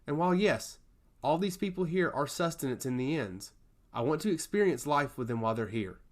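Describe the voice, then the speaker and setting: A man's voice: a little high, expressive, brisk, close to an everyday voice. A man voicing a passionate god of chaos who loves humanity, speaking in earnest, emphatic monologue in a fantasy story. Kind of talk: fantasy storybook character dialogue.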